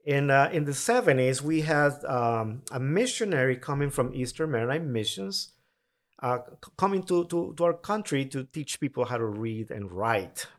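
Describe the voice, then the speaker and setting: A man's voice: mid-range voice, lively intonation, moderate pace. A man from Honduras, explaining his ideas earnestly in a podcast interview. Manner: earnest, explanatory, occasional restarts. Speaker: mission agency president.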